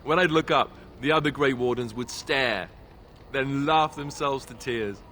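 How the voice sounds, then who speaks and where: An actor playing a wry, boyish, self-deprecating young warrior in a video game, speaking in a conversational, sardonic way. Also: male voice, mid-range voice, unhurried, bright, forceful tone, a little hiss or hum. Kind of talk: video game character dialogue.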